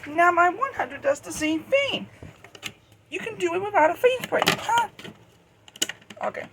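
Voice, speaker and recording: Hobbyist doing a casual show and tell, kinda high creaky voice, rambles and stumbles, some noise on the home recording. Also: expressive, fairly bright tone. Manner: rambling, halting, self-correcting. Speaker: hobbyist video creator. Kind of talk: casual unscripted show-and-tell of weather radios. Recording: noisy amateur home recording.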